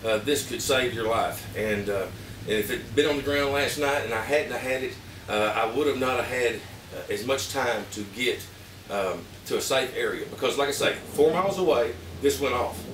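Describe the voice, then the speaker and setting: A man with an Arkansas Southern accent giving a casual, plain-spoken, halting product review to camera. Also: medium pitch, fairly bright tone. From Arkansas, United States. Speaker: vlogger reviewing emergency gear. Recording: roomy, distant mic with background noise.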